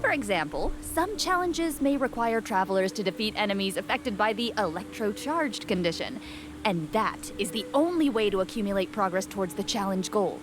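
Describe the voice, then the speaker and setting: A woman's voice: medium pitch, animated, normal speed, background noise. A woman co-presenting game news, explaining from a script with playful banter. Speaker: co-presenter on a game update broadcast. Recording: loud music behind. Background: music.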